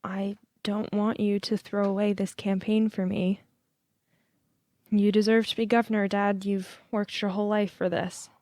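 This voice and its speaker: A woman playing a hurt grown daughter of a politician in an audio play, with an emotional, halting, naturalistic delivery. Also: mid-range voice, flat delivery, darkish tone, dry recording, natural voice. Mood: subdued, softening.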